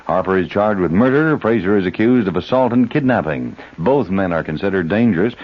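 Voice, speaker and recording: Low-voiced formal radio newsman. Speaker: radio newsreader and announcer. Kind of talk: scripted news and ad reading. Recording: dull old radio broadcast.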